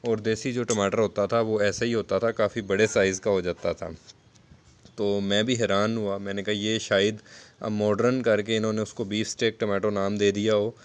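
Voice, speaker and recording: A man's voice: lowish voice, level tone, moderate pace, smooth. A man giving a casual, explanatory gardening vlog narration in a conversational, repetitive way. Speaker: home gardening video host.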